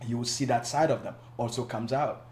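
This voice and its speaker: A man from Cameroon, a guest on a panel talk show, explaining his views in a measured way with some restarts. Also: mid-range voice, darkish tone, reverberant. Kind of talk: casual panel discussion.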